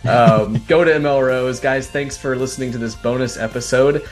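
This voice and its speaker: A man's voice: medium pitch, moderate pace, soft background music. A man hosting a sports podcast in a casual, chatty, informal way.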